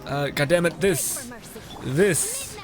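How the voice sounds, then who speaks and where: A man's voice: fairly high pitch, sing-song, slow. A young man giving casual gameplay commentary as a streamer, rambling, interrupting himself and swearing casually. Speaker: game streamer. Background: game music.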